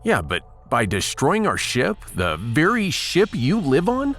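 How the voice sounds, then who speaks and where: A man's voice: a little high, huge pitch swings, measured pace, a bit bright, soft background music, exaggerated voice. A man voicing an emphatic, sarcastic, commanding starship captain in a comic audiodrama.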